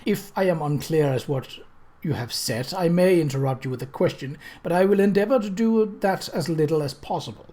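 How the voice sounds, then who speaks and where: A narrator voicing a blunt, judicious male coroner in an audiobook, with formal, measured questioning. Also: medium pitch, animated, mellow, lightly acted. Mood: kindly, formal. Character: gruff, blunt coroner, judicious older man.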